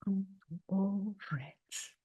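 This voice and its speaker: Hushed, breathy woman guiding meditation slowly. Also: mid-range voice. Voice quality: breathy, husky, near-whisper. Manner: gentle, unhurried, with long pauses between short phrases. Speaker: meditation teacher leading a guided meditation. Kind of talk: slow guided meditation talk.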